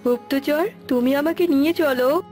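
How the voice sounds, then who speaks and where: A haughty cartoon queen, played by a woman, speaks in a high voice with an imperious, scheming manner. Light music runs underneath. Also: moderate pace, darkish tone, natural voice. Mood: shocked, commanding. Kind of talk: cartoon character acting. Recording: music behind the voice.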